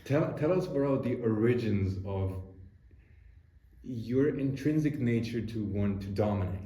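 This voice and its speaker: A man hosts a podcast conversation with a warm, somewhat low voice. He reflects on his own past and builds long, winding questions for his guest. The room gives a noticeable echo, with faint background noise. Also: animated, unhurried. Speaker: podcast host. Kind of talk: casual interview conversation.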